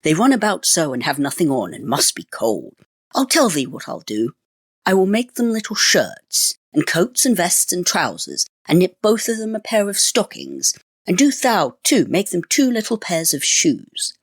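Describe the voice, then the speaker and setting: A man's voice: sits high, huge pitch swings, normal speed, slightly rough, no room sound, studio quality, pitched above the performer's own voice, full character voice. A man voicing a kindly, eager shoemaker's wife in a storybook, sweet and earnest with a lilting delivery. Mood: eager, grateful. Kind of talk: storybook character voice.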